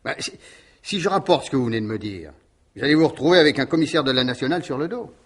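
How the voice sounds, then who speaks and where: Actor as an earnest, flustered village gendarme. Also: male voice, mid-range voice, lively intonation, fast, close to an everyday voice. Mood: flustered, concerned. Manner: hesitant, courteous, exasperated. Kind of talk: French radio mystery play acting.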